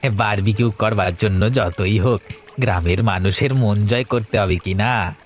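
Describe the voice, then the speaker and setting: A man voicing an eager, easily spooked village husband in a cartoon, chatty and expressive. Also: fairly low pitch, a little slow, a little hiss or hum, lightly acted. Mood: cheerful, eager. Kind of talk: Bengali cartoon dialogue acting.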